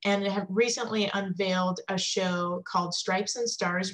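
A young woman speaks on a video call with a clear, composed, well-prepared delivery. Her pitch is middle range and steady, and she introduces her work in an orderly way. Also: poor audio. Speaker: assistant curator and design faculty. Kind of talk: webinar self-introduction.

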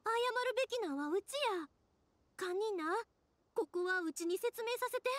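A woman's voice: extremely high pitch, animated, normal speed, a little hiss or hum. An actress playing a kind-hearted young woman in an anime game, speaking earnestly and expressively with a Kansai accent. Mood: pleading, compassionate. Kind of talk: anime game dialogue acting.

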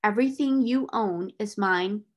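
A woman voicing a stern, domineering middle-aged schoolmistress with a sharp, scolding, imperious manner in a storybook reading. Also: mid-range voice, measured pace, natural voice. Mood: scornful, domineering.

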